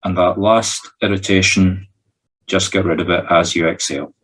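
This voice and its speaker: Male Scottish meditation guide, low-pitched husky voice, short spoken instructions with an improvised feel. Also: fairly even intonation, normal speed, fairly bright tone. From Scotland. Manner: instructing in short, separate phrases. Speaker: medium leading a guided meditation. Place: recorded over a video call.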